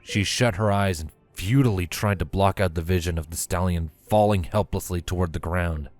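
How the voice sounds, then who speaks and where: Male narrator, low-pitched, scripted storytelling, faint background music. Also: a little fast, a little mellow, no echo.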